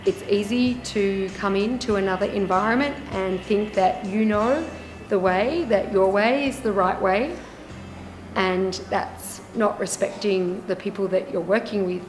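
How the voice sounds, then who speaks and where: Australian woman, hospital volunteer, reflective interview, mid pitch, roomy echo, music behind. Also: unhurried. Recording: echoey room, music underneath.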